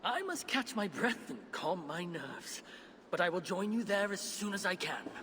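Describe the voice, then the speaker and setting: An actor playing an older dwarf smith, learned and earnest, speaking formally and with nervous deference in a video game. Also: male voice, sits high, big pitch swings, moderate pace, background noise. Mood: deferential. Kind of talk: video game cutscene acting.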